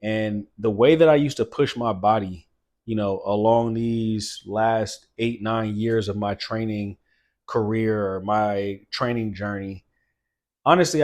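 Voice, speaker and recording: A man's voice: fairly low pitch, a little slow, a little mellow. Earnest motivational man in his late thirties. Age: late 30s. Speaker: fitness channel host. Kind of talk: motivational talk to camera.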